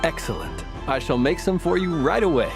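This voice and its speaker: A man voicing a courteous gentleman candy maker in a video game, speaking formally and politely with elaborate phrasing. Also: a little high, very animated, brisk, gravelly. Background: game soundtrack music.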